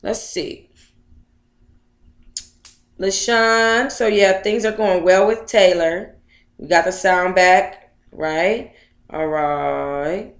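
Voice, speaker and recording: A woman's voice: on the low side, drawn-out words, long pauses, roomy sound. A woman on a casual livestream, talking to viewers in a playful, slangy, chatty way as she hosts and reads aloud. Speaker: livestream host reading their own book aloud.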